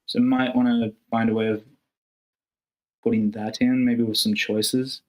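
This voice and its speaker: A man giving informal feedback on a screenplay in a tentative, rambling way, softening his criticism. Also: lowish voice, flat delivery, a little slow. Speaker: participant giving script feedback.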